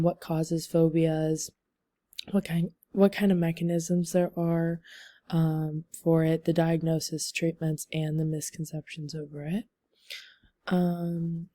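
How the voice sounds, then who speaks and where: A young woman giving a class presentation over slides, losing her voice, talking haltingly with many ums and likes. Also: sits low, dark tone, dry recording. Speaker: student presenter.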